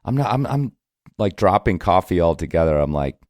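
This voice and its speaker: A man with a low, deep voice hosts a podcast in a casual, conversational style. The sound is dry, with no room around the voice. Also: sing-song, a little fast, darkish tone, no room sound. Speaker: podcast host. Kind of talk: casual chat.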